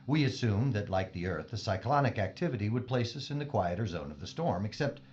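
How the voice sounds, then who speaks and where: A man's voice: on the low side, expressive, brisk, darkish tone, close to an everyday voice. A man playing a prickly, condescending scientist who speaks formally and precisely in a space audio drama. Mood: aloof. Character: haughty, exacting male scientist, prickly loner aboard spaceship. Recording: dull band-limited audio with faint music.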